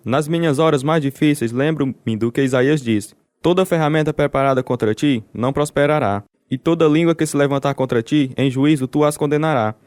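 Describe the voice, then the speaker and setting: A man's voice: a little high, a little fast, darkish tone, dry recording, lightly acted. Man playing a grave, devout, forgiving prisoner. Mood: devout, resolute. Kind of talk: radio drama narration and dialogue. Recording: guitar music behind the voice.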